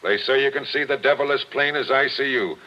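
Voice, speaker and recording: Man acting as a skeptical investigator, mid-pitched, blunt, colloquial, vintage radio play, dull sound. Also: a little fast, lightly acted. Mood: insistent.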